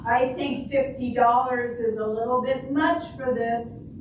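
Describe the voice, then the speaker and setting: A woman acts out an exasperated old wife in a stage comedy, scolding slowly in a slightly high voice. She is firm and reproachful. It sounds very echoey and far from the mic. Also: fairly even intonation, close to an everyday voice. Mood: dry, exasperated. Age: older. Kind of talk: amateur readers' theater comedy. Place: live stage reading in a roomy hall. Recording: echoey room, distant mic, clear background noise.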